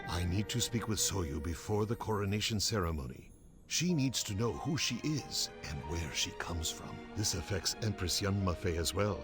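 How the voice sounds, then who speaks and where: An actor playing a solemn, protective older former guard captain in a video game, speaking earnestly and gravely. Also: male voice, sits low, expressive, moderate pace. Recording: music under the voice.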